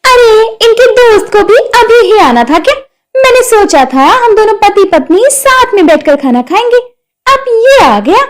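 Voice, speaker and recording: A woman's voice: very high voice, big pitch swings, measured pace, exaggerated voice. A woman voicing a feisty young village wife in a cartoon story, whiny and argumentative. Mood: grumbling. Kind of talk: Hindi cartoon story acting.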